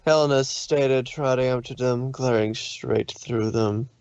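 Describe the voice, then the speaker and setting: Male fan fiction narrator, dramatic scripted read, mid pitch, slightly muffled and dry. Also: fairly even intonation, a little slow, darkish tone, smooth.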